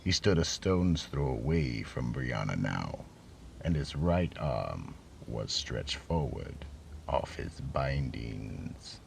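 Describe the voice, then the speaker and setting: A man acting as a slow, ominous narrator in a dramatic horror radio play. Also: extremely low pitch, sing-song, vocal fry, slight background noise, heavily acted. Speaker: narrator and announcer.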